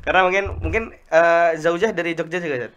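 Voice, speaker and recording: A man hosting a casual religious talk show, chatty and quick to prompt his guest. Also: a little high, fairly even intonation, a bit bright, lo-fi sound.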